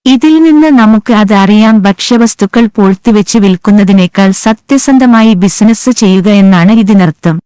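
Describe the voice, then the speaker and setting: A woman's voice: medium pitch, normal speed, mellow, clear, resonant tone, no echo. A woman reading scripted moral stories for children as the narrator. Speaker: story narrator. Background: background music.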